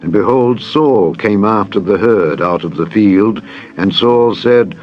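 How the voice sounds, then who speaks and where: A man's voice: lowish voice, unhurried. A man narrating a dramatized scripture audiobook in a solemn, formal, declamatory way. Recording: dull band-limited audio with music. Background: background music.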